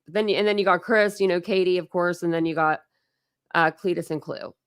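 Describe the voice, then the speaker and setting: A woman's voice: on the low side, steady tone, brisk, clean tone, no echo, studio quality. An American woman hosting a true crime livestream, chatting casually in slangy, mildly cursing speech. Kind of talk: casual live chat.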